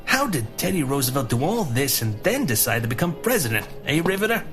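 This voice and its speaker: 1940s commissioner played by a man: mid-pitched, animated, dryly grumbling, weary, music underneath. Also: brisk, lightly acted. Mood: wry, weary. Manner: dry, grumbling. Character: weary, wry 1940s New York police commissioner. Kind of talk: naturalistic audio drama acting.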